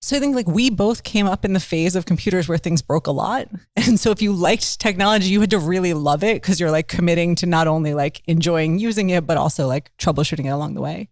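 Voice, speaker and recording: A woman from Baltimore talking casually as a podcast guest, full of "like" and quick laughs. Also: lowish voice, a little mellow, no echo, studio quality. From Baltimore, Maryland. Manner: chatty, lots of "like", laughs while telling stories. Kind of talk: casual interview talk.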